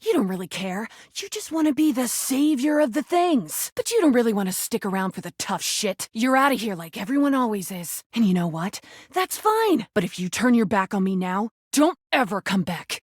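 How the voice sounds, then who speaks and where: A woman's voice: on the high side, big pitch swings, normal speed, husky, dry recording, clean studio sound. A woman voicing an angry, wounded female rubber duck in a video game, blunt and defensive but easily made vulnerable. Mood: angry, defiant. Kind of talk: video game character acting.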